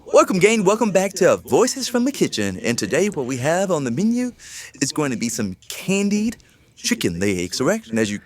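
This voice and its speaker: A man's voice: fairly high pitch, huge pitch swings, moderate pace, faint music behind. An upbeat man's voice, made with an AI voice changer, narrating a cooking show in a casual, conversational way.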